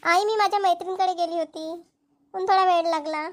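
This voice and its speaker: A woman's voice: extremely high pitch, steady tone, fairly quick, heavy background noise. A woman voicing an earnest, stubborn young village woman in a Marathi cartoon, with a rural Maharashtrian accent. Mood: explaining. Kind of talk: amateur cartoon comedy-drama dubbing.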